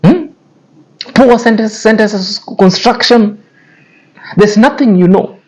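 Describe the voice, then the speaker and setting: A man's voice: high voice, slow pace, low-quality recording. Scornful man lecturing. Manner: cutting, repetitive, lecturing. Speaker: YouTube commentary host. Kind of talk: scornful commentary on influencer drama.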